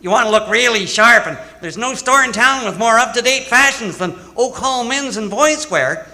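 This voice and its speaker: Super high piercing teen boy, stuttery and keen, old time radio commercial. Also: lively intonation, moderate pace, bright, forceful tone, exaggerated voice. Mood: eager. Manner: stuttering, repeating first words. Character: eager, stammering schoolboy pal pitching a sale.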